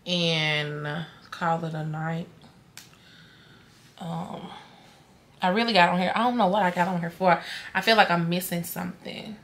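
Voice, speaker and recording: A woman's voice: on the low side, steady tone, a little slow, pauses often, fairly bright tone, slight background noise. A young woman, chatting casually to the camera at home at night, tired and rambling, often trailing off to herself. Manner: tired, rambling, talks to camera and her dog. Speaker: vlogger. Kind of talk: casual vlog chat.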